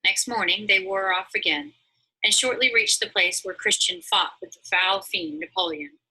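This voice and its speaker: Female read-aloud narrator, strikingly forceful tone, raspy, mid pitch, dramatic storybook delivery. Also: normal speed, low-quality recording. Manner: dramatic, expressive. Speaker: story reader.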